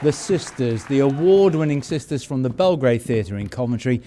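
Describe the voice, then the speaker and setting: A male interview host with a soft, mellow tone and a middling pitch. He is encouraging and congratulatory as he chats with guests backstage at an awards night. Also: expressive, moderate pace, a faint crowd, slight background noise.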